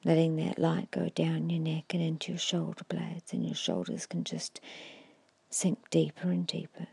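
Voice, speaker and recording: A woman giving an ASMR guided hypnosis in a hushed, breathy whisper, slowly and soothingly instructing with long pauses. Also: sits low, no room sound. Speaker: hypnotist guiding a meditation.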